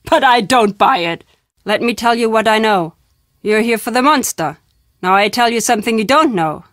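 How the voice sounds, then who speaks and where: A woman playing an enigmatic, foreboding traveling storyteller in an adventure game, stern and deliberate in her phrasing. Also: a little high, animated, a bit bright, dry recording, clean studio sound. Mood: wry, cryptic. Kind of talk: adventure game character acting.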